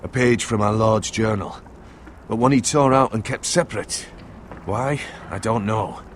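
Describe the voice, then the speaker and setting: An actor playing a grave, devoted older uncle in a medieval fantasy game, speaking in a solemn, formal, measured way. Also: male voice, lowish voice, slight background noise, close to an everyday voice. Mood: grave, urging. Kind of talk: dramatic fantasy game acting.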